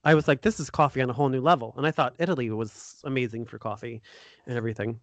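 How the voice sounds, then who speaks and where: A man's voice: mid-range voice, steady tone, fast talker, no echo. An American man hosting a podcast, interviewing in a casual, informal and enthusiastic conversational way. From United States. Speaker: podcast host.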